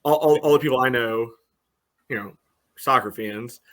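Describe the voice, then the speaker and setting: A man's voice: medium pitch, moderate pace, fairly bright tone. A man co-hosting a soccer podcast in casual chat, talking hesitantly and peppering his speech with "you know".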